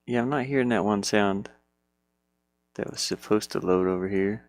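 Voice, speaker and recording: Low-voiced, gravelly man thinking aloud. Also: normal speed, no echo. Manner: muttering to himself in short fragments. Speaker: indie game developer. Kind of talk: casual think-aloud while working.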